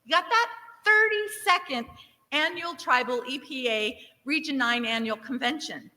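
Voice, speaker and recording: A woman's voice: sits high, animated, normal speed, pushed and bright. Upbeat woman rallying a conference crowd. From Nevada. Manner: upbeat, engages the crowd with show-of-hands questions. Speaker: state agency director giving welcome remarks. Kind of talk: interactive opening speech to a conference audience. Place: large conference hall. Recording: reverberant hall.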